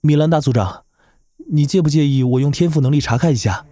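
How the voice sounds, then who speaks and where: A man voicing an earnest, idealistic young human mage with a wry streak in an animated fantasy drama. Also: fairly high pitch, fast, no room sound, studio quality, lightly acted. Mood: puzzled, curious.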